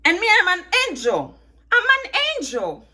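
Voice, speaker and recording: A woman's voice: high-pitched, huge pitch swings, moderate pace, bright, forceful tone. Chatty woman with a mixed Ghanaian-Italian accent. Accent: mixed Ghanaian, Italian, British and American. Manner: chatty, joking, laughs often, asks viewers questions. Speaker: vlogger. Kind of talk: casual chat to camera while detangling hair. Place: at home.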